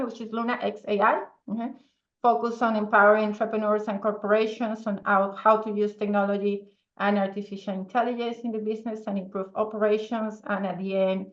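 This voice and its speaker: A woman's voice: medium pitch, roomy sound, lo-fi sound. A woman from Venezuela with a strong Spanish accent, speaking English as a second language as a guest in a casual interview, often checking in with "okay?" and laughing easily. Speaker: podcast guest, entrepreneur.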